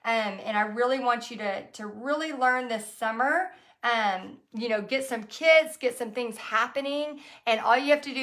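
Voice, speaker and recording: A middle-aged woman with a hoarse, raspy voice, chatting casually on a live stream, folksy and full of fillers. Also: on the high side, a bit bright. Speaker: painting instructor hosting a live stream. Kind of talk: casual live-stream chat, reading comments.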